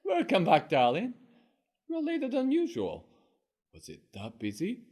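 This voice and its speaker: A sharp-tongued scolding wife, as a male storyteller puts her on. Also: high voice, huge pitch swings, measured pace, heavily acted. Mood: gentle. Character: scolding wife of a drunken fishmonger. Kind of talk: one-performer comic storytelling.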